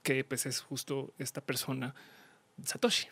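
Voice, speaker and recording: A woman in her thirties with a Colombian accent, a podcast guest explaining things casually with slang and acted-out exchanges, on a studio boom mic. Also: a little slow, slightly rough, no room sound. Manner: animated, slangy, acts out quoted exchanges. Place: small indoor studio. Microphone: studio mic on boom arm, headphones.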